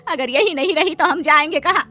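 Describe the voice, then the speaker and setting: Cartoon poor maid wife, anxious and dutiful, high pleading voice, voiced by a woman. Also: a little fast, bright, forceful tone, faint music behind. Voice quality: pleading, fretful. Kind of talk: Hindi cartoon voice acting.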